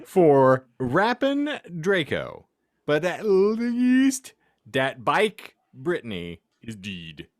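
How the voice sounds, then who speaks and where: A man's voice: fairly high pitch, huge pitch swings, drawn-out words, no room sound. A man hosting a podcast, giving a comic dramatic reading of fan fiction in a theatrical way and often close to laughing. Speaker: podcast host.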